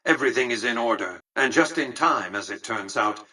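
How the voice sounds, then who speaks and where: An imperious elderly tycoon from a video game. His voice is forceful and bright with a husky grain, set slightly away from the mic. He speaks formally and precisely, with cold, condescending superiority. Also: mid-range voice, lively intonation, a little fast, far from the mic, full character voice. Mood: smugly satisfied. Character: cold, imperious old male tycoon ruling a casino city. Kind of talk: scripted video game character dialogue.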